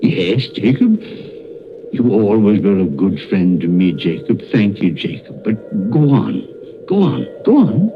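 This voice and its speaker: An actor playing a querulous, fearful old miser in a theatrical 1930s radio drama. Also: male voice, on the low side, sing-song, unhurried, highs cut off, music in the background. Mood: pleading. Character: crotchety, miserly old businessman, skeptical and fearful.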